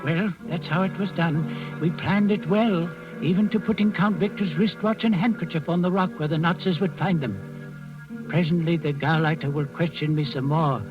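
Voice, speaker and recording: An actor playing an old servant in the resistance, narrating deliberately and dramatically in 1940s radio style. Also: male voice, a little high, natural voice. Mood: quietly satisfied. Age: older. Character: old servant confessing calmly to underground resistance work. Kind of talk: 1940s radio acting, first-person narration. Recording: muffled 1940s radio with faint music.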